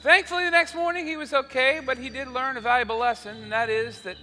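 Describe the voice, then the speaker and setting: A man's voice: very high voice, fairly even intonation, quick pace, sharp tone, slight background noise. A man hosting a stage show, telling a comic story with a showman's delivery and repeated phrases. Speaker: show host, comic monologist. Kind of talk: stage comedy storytelling monologue.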